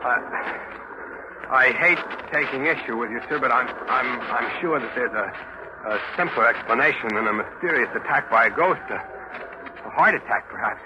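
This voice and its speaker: An actor playing an earnest, uneasy ship's officer who tells a ghost tale dramatically in 1940s radio style. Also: male voice, mid-range voice, normal speed, background noise, lightly acted. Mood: uneasy, protesting. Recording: dull old radio recording, loud music behind.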